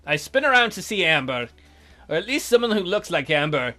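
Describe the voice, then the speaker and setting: Animated man with a bright voice. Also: high voice, brisk. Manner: wisecracking, expressive. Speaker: let's play host. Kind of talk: visual novel read-aloud with joking commentary. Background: music.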